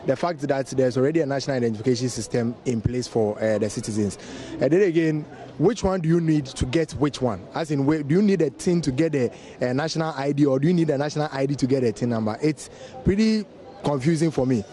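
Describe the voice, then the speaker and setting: A man with a Ghanaian accent giving a halting, questioning opinion in a casual street interview. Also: medium pitch, warm tone. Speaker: member of public in street interview. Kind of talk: casual vox pop. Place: outdoors on the street.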